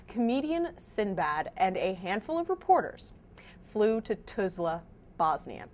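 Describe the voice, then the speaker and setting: A woman's voice: mid-range voice, a little mellow. A woman hosting a scripted news explainer, presenting to viewers in an emphatic, wry way. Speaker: host of a fact-checking news vidcast.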